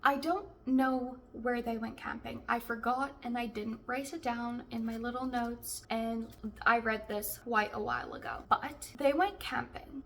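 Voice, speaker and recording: South african girl chatting through a book review, lots of "like", mic kinda distant. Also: on the high side. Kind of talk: casual book review vlog. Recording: distant mic with faint background hiss.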